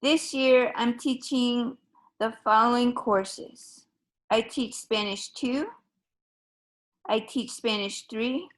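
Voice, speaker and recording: A woman from Ecuador, a high school Spanish teacher, giving a recorded open house talk in a measured, formal way with frequent ums. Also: a bit bright.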